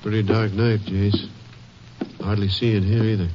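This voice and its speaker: An actor playing a plain-spoken, questioning small-town sheriff in a 1950s western radio drama. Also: male voice, lowish voice, flat delivery, normal speed, lightly acted. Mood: observant. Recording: noisy, dull old radio recording.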